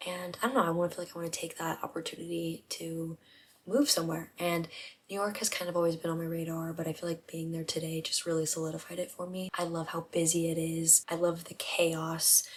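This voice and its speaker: A woman in her twenties chatting casually to her vlog camera in a hushed, half-whispered voice at home at night. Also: low-pitched, level tone, a little fast, a little hiss or hum. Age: 20s. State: voice rough from not talking. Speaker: vlogger.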